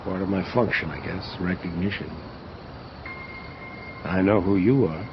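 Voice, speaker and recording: An actor playing a gruff, streetwise angel detective in an audio drama, blunt and clipped as he probes. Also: low-pitched, unhurried, lo-fi sound, slight background noise. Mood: probing. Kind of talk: hardboiled audio drama dialogue.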